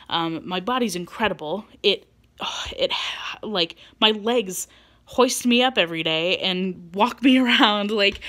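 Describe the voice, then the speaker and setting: A woman's voice: lowish voice, a bit bright. A woman in her late twenties talking casually to her camera in a personal vlog, rambling with many ums and likes and laughing at herself. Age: 20s. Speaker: vlogger.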